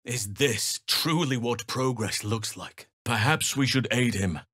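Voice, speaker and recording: A man voicing an ancient, earnest elf druid in a video game, speaking in a calm, formal and solemn way. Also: mid-range voice, breathy edge, no echo, clean studio sound, exaggerated voice. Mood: grave, measured. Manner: measured, formal, solemn phrasing. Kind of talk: video game character dialogue.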